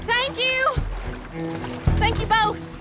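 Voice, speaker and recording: A woman's voice: extremely high pitch, fairly even intonation, extremely slow, pushed and bright, soft background music, full character voice. A woman playing an anxious, grateful young frontier wife with an American accent in a video game cutscene. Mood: pleading, grateful.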